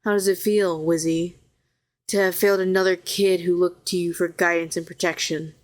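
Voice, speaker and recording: A woman performs a telepathic villainess in an intimate audio drama. She is a fallen hero with a low voice, sardonic on the surface and protective underneath. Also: level tone, moderate pace, lightly acted. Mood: scornful, cutting. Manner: sharp and sardonic, turning soothing and coaxing. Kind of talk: intimate scripted audio roleplay.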